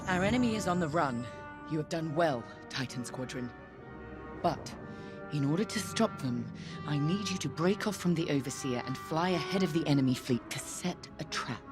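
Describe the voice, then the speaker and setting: A woman's voice: deep voice, animated. Cold, vengeful Imperial commander woman. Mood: stern, controlled. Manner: measured, menacing, formal. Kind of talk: dramatic video game mission briefing. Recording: loud music behind.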